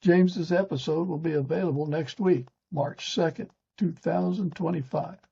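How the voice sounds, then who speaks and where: Husky older man reading stiffly, dull recording. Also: a little high, moderate pace, mellow. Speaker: podcast host. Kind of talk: scripted narration read from a teleprompter. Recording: dull, lo-fi sound.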